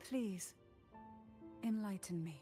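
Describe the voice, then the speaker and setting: A woman voicing a composed, courtly noblewoman in a video game, speaking in a formal, measured and enigmatic way. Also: medium pitch, dark tone, lightly acted. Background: game music.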